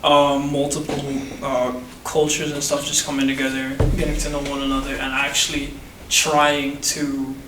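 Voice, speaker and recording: A nineteen-year-old man hosting a casual group podcast, relaxed and laughing easily, with many fillers. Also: medium pitch, moderate pace, few pauses, heavy background noise. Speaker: podcast host. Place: room shared with three guests. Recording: echoey room, mic at a distance, guitar music.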